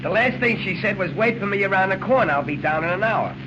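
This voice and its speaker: An actor playing a worried, slangy working-class American cab driver in 1940s radio style. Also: male voice, high-pitched, fast. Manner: slangy, streetwise, excitable. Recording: muffled, noisy old radio recording.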